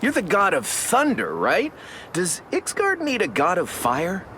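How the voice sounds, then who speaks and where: A man's voice: sits high, wildly swooping pitch, measured pace, bright, forceful tone, slight background noise, full character voice. A man playing a playful, brash young superhero in a video game, joking and cocky.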